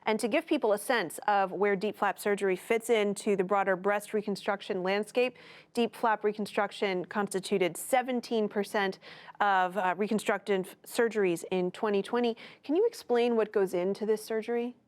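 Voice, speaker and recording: A woman correspondent reading a scripted news report in a measured, clear way, then introducing a guest. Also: medium pitch, fairly even intonation. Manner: measured, clear newsreader delivery.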